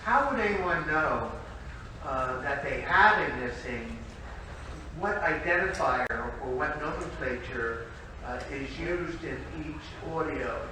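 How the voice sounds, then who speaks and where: A man with a rather high voice speaks from the audience, far from the mic in a heavily echoing room with clear noise. His questioning is deliberate and probing. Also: animated. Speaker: audience member asking questions. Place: echoey meeting room, off the microphone. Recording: very echoey, distant, noisy room.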